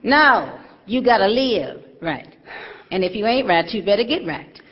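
A woman's voice: mid-range voice, sing-song, a little slow, slightly rough, in a large hall, muffled recording. An actress playing a stern, churchgoing mother who scolds in folksy, emphatic speech. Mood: suspicious, scolding. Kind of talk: stage drama acting.

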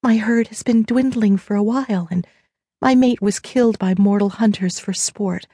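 A narrator plays a sad deer shifter woman in an audiobook. Her voice is raised well above the narrator's own, earnest, halting and deferential, in a dry recording. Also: fairly high pitch, lively intonation, gravelly, warm tone, breathy edge, dry recording, pitched above the performer's own voice, heavily acted. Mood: tearful, deferential.

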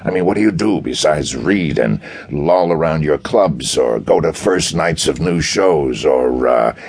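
A man plays a stocky, exasperated federal police chief from a pulp detective audiobook. The voice is deep, husky and gravelly, and he grumbles bluntly. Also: lively intonation, unhurried, darkish tone, exaggerated voice. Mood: teasing, amused.

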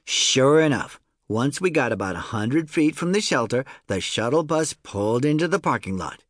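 A man reads a first-person children's audiobook in a conversational, storytelling way. His mid-pitched voice moves around in animated swings, recorded close and dry with no room sound. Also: normal speed, dry recording. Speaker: audiobook narrator.

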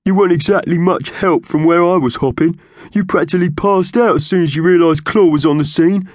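A man's voice: on the high side, moderate pace, a little mellow, dry recording, pitched above the performer's own voice, lightly acted. A man voicing a fat, dim magpie crook in a storybook, with a whiny, plaintive manner. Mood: retorting. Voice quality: gurgly.

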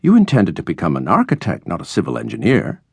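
A man voicing a stuffy, formal older male college dean who speaks in measured, disapproving phrases for a storybook. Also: low voice, huge pitch swings, vocal fry, darkish tone, slightly rough, dry recording, full character voice. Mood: lecturing, exasperated.

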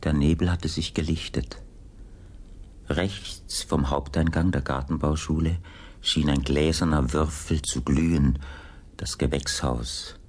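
A man's voice: rumbling low, steady tone, a little slow, a little mellow, breathy edge. A man narrating a scripted audiobook drama as its storyteller.

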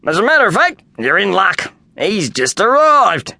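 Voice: medium pitch, very animated, normal speed, vocal fry, bright, forceful tone, no echo, pitched above the performer's own voice, full character voice. A storybook reader voicing a friendly, ragged Australian workman who moves houses, cheerful and matter-of-fact. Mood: confident. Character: friendly ragged grown man, house-moving worker.

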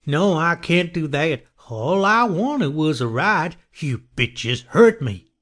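A man voicing a deranged, sadistic hitchhiker killer in a horror story, taunting slowly and gloating. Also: fairly high pitch, lively intonation, a bit bright, voice pushed up, heavily acted. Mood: slow menace.

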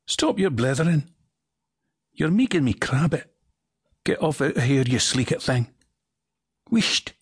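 A gruff, scolding Scottish voice grumbles in thick dialect, as a man puts it on. It sits well above the performer's own pitch, with a husky edge. The sound is close and dry. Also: big pitch swings, unhurried, long pauses, dry recording, exaggerated voice. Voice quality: brogue-heavy, scolding. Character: grumbling Scottish inner voice, male, cranky.

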